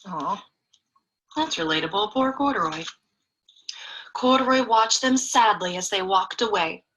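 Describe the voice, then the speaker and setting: A woman's voice: medium pitch, expressive, a little slow, a bit bright, poor audio. A woman reading a picture book aloud expressively to children, in a chatty way with young listeners. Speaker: children's story reader.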